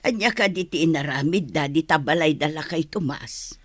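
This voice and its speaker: A man plays a gentle village parent in a radio drama. The voice is fairly high and dryly recorded, earnest and full of moral advice. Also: normal speed, no room sound. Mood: concerned. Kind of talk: Ilocano radio drama acting.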